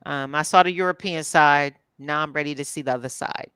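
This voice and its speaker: A woman from Philadelphia chatting casually as a co-host, relaxed and chatty. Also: low-pitched, clear, resonant tone, no room sound, studio quality. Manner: relaxed, chatty, drawn-out fillers. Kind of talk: casual chat.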